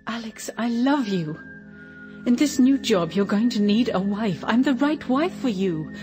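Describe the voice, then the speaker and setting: A woman's voice: a little high, lively intonation, unhurried, darkish tone. An actress playing an ardent, determined woman in love in a radio drama, earnest and imploring. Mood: pleading. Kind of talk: British radio drama acting. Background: music.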